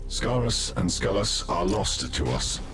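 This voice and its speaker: A man's voice: low voice, big pitch swings, measured pace. A man playing a sober, deferential military officer, formal and grave, in a sci-fi game. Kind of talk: dramatic sci-fi game acting. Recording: loud music with artillery behind.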